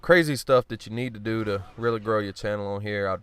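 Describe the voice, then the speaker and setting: A man's voice: fairly low pitch, quick pace. Blunt, profane man talking over gameplay. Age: adult. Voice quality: smooth voice. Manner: blunt, profane, rambling and self-joking; clears his throat often. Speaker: gaming commentator. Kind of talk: casual gameplay commentary.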